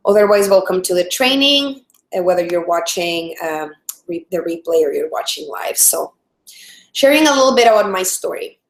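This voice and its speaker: A woman's voice: on the low side, lively intonation, moderate pace. A woman in her thirties from El Salvador with a Salvadoran Spanish accent, hosting a live webinar training as a product strategist, friendly and full of fillers and restarts. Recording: roomy echo.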